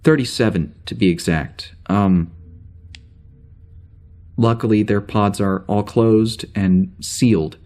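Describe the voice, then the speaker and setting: An actor playing a troubled starship officer with amnesia, halting and rambling as he records a log in a naturalistic audio drama. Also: fairly low pitch, darkish tone. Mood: grim, hesitant. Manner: halting, rambling, self-interrupting.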